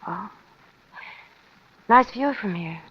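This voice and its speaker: A woman's voice: medium pitch, animated, slow pace, breathy edge. An actress playing a flirtatious, world-weary waterfront woman in 1940s radio noir, languid, teasing and slangy. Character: heavily made-up waterfront dame, flirtatious, world-weary, wry. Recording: dull, noisy old radio recording.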